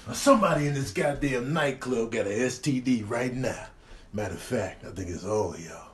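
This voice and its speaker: A man voicing a grumbling, suspicious animal in a comic voiceover, deadpan, slangy and full of swearing. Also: medium pitch, normal speed, roomy sound, slight background noise, close to an everyday voice. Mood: suspicious, annoyed. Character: grumbling suspicious animal demanding answers from everyone.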